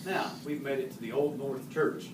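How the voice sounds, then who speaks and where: A man's voice: mid-range voice, moderate pace. A man reciting a narrative poem as an amateur reader, occasionally stumbling over harder words and correcting himself. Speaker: amateur reader in the role of a historical horseman. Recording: roomy, distant mic, faint background noise.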